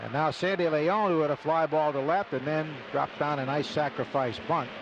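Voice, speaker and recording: A man calling a baseball game play by play on live radio in clipped, deliberate phrases. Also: a little high, fairly even intonation, lo-fi sound. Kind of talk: live radio sports commentary with sponsor reads. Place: stadium broadcast booth. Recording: noisy live ballpark radio broadcast.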